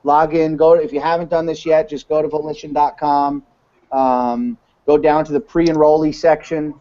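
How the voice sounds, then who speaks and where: A man's voice: fairly high pitch, flat delivery, moderate pace, dark tone. A man giving a casual, rambling webinar talk, full of fillers and restarts. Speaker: webinar presenter. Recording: dull lo-fi online call audio.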